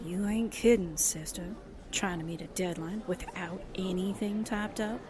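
A woman's voice: lowish voice, a little slow, crowd noise, close to an everyday voice. A woman acting a sassy, slangy, wisecracking small-town newspaperwoman in a 1940s-style radio drama. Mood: sassy teasing.

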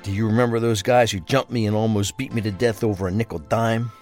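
A man acts a loyal criminal lieutenant in an audio thriller. The voice sits low, with an earnest, measured delivery. Faint music plays behind him. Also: steady tone, a little mellow, close to an everyday voice. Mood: grateful, devoted. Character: loyal, grateful male gangster, the boss's trusted right-hand man. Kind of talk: crime thriller audio drama dialogue.

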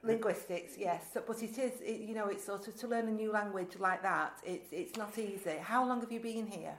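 In a clearly echoey space, a woman hosts a relaxed chat-style interview. She rephrases as she goes and offers frequent agreement to her guest. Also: medium pitch, a little fast. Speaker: interview host. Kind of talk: casual conversational interview. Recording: echoey, roomy sound.

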